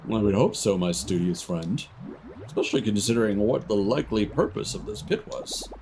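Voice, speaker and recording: A man voicing a studious, chatty elderly scholar in a game, lecturing in a formal, theatrical, measured way. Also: lowish voice, animated, darkish tone, slight background noise. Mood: pedantic, eager.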